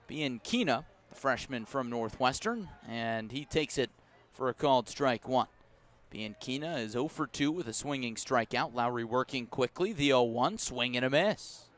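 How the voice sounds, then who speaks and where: An American man works as a baseball play-by-play announcer on live radio. His voice sits at a medium pitch. He describes the game in a measured, detailed way and lifts his delivery when the action calls for it. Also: fairly bright tone. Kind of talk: live radio sports commentary.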